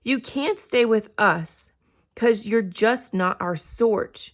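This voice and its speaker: A woman's voice: on the high side, takes it slowly. A woman voicing snooty, disapproving moose in a children's storybook. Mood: indignant.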